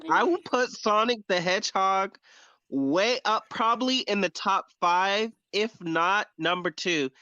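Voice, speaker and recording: Bright forceful male voice, sassy, emphatic, casual arguing, a little dull-sounding recording. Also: high voice, measured pace, clear, resonant tone, dry recording. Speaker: panelist. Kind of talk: heated casual debate. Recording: slightly muffled audio.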